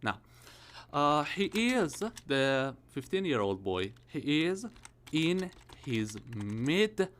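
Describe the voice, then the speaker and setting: A man's voice: on the high side, very animated, slow pace, fairly bright tone, a little hiss or hum. A man in his early thirties teaching English grammar for an exam, explaining step by step and often checking with "all right?" and "okay?". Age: 30s. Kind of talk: IELTS grammar lesson.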